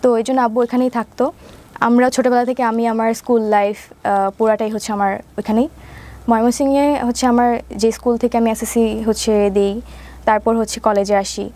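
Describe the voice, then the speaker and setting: A woman's voice: on the high side, fairly even intonation, fairly quick, mellow. A woman of around twenty from Mymensingh with a Bangladeshi accent, telling her life story conversationally as an interview guest, step by step with habitual filler phrases.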